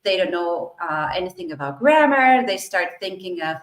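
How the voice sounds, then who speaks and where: A woman's voice: on the low side, very animated, moderate pace, reverberant. A middle-aged woman from Argentina, an English teacher, answering interview questions fluently and with enthusiasm.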